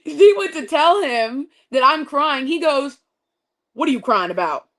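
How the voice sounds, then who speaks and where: A young adult woman telling a story in casual conversation, expressive and full of vivid detail. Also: high voice, measured pace.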